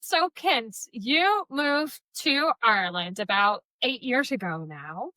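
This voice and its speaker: A woman hosts a podcast interview, asking questions and often answering her guest with a friendly "yeah". Her voice sits a little high and sounds bright. The recording is dry. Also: lively intonation, takes it slowly, dry recording. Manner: friendly, frequent "yeah" backchannels. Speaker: podcast host.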